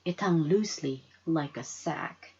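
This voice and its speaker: A woman reading a children's story aloud as an expressive narrator. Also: deep voice, measured pace, slightly rough, dull audio. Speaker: storybook reader.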